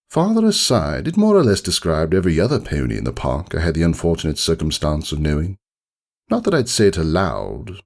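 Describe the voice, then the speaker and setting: Casting: man to play haughty noble unicorn, storybook narrator, low register, sweeping intonation, theatrical and brooding. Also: vocal fry, a little mellow, heavily acted. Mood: wry, musing. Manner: pompous, wordy, theatrical, ruminative. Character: haughty, melancholy aristocratic male unicorn narrating his story. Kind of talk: dramatic storybook reading, first-person monologue.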